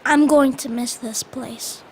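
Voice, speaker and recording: Young female performer as a high-pitched earnest farm boy. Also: steady tone, slow, slight background noise. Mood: sad, worried. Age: child or young performer. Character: eager thirteen-year-old farm boy, helpful and caring. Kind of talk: school radio drama acting.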